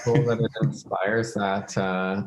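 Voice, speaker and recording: A man chatting as a guest over a video call, laid-back and quick to laugh. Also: on the low side, level tone, low-quality recording. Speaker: guest, studio co-founder. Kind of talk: casual interview chat.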